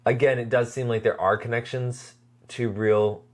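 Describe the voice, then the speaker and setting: A podcast co-host chatting casually about a series in an earnest, halting way, often repeating words while forming thoughts. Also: medium pitch, steady tone. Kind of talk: casual chat reviewing a web horror series.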